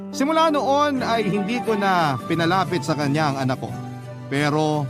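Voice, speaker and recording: A man's voice: on the high side, lightly acted. A Filipino man reading a troubled young mother's letter as dramatic first-person radio narration. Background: music bed.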